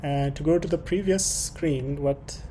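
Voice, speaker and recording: A programming tutorial presenter talking through code unscripted, haltingly thinking aloud while working. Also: medium pitch, warm tone. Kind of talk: unscripted screen-share coding tutorial. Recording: clear background noise with keyboard typing.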